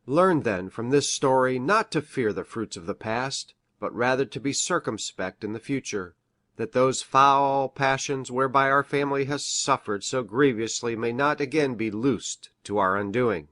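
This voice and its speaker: A man acting as an earnest male doctor in an audiobook drama, recorded close and dry. His mid-pitched voice is formal and solemn, telling an old-world tale with measured care. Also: fairly bright tone, no room sound, lightly acted. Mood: solemn, admonishing. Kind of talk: dramatic audiobook reading of archaic narrative.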